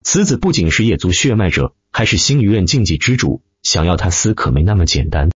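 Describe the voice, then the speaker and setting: A man voicing a calm, scheming young nobleman villain, coolly menacing, in a fantasy audiobook. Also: sits low, brisk, slightly rough, no echo, lo-fi sound, exaggerated voice.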